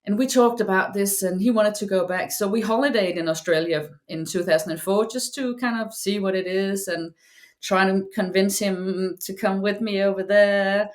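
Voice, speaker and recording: A middle-aged woman from Denmark with a Danish accent, chatting as a podcast guest, speaking English haltingly with many restarts and repeated words. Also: medium pitch, fairly even intonation. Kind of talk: casual chat.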